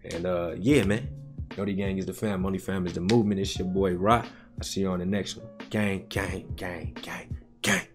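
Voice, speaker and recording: Low-voiced young man, slangy streamer. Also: steady tone, moderate pace. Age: young adult. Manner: slangy, conversational, addressing chat. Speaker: gaming video host reacting to cutscenes. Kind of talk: casual reaction commentary to viewers. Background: music.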